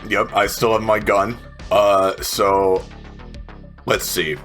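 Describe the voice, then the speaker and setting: A man's voice: lowish voice, little pitch movement, slow, a bit bright, soft background music. A man playing a tabletop game, casually talking through rules and describing what his character does.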